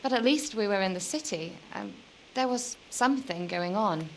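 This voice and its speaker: An actress playing a weary, lonely young medieval noblewoman in a video game, speaking in a measured, formal and wistful way. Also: medium pitch, expressive, a little hiss or hum, lightly acted, rough recording. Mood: bitter, resigned. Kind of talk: dramatic video game dialogue.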